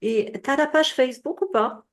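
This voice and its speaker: A woman coaching on a group call in a relaxed, chatty way, heavy with fillers and check-ins, her voice a bit worn with coughing. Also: high voice, moderate pace. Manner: casual, advising, many fillers and tu vois. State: coughing, voice tiring. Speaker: business coach.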